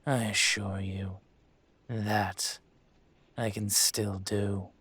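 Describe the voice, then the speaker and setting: A man playing an aging dragon mafia boss in a roleplay audio drama, speaking in a smooth, deliberate, courtly manner with veiled menace. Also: lowish voice, little pitch movement, a bit bright, no room sound, exaggerated voice. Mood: wry, self-satisfied. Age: older.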